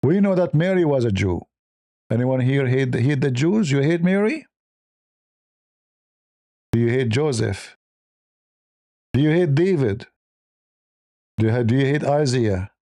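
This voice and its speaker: Mellow-voiced, combative non-native male commentator. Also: mid-range voice, sing-song, unhurried, long pauses, dry recording, studio quality. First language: not English. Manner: combative, repeats rhetorical questions. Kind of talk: informal religious and political commentary.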